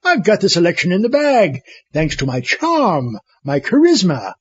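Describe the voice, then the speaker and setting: A man's voice: high voice, wildly swooping pitch, normal speed, a bit bright, breathy edge, highs cut off, exaggerated voice. A man playing a vain, blustering would-be dictator in a comedic audio drama, with a pompous, theatrical and indignant manner. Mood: smug, boastful.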